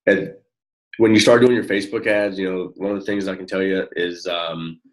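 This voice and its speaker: A man with a low-pitched voice gives one-on-one coaching in a casual, encouraging way. He talks in halting, informal phrases, circling back as he explains. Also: fairly even intonation, poor audio. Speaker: network marketing coach.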